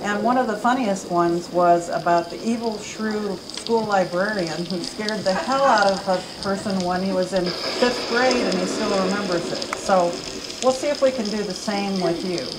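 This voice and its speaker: Expressive woman reading a story aloud. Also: mid-range voice, normal speed, noisy background. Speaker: reader at a library event. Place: campus event room.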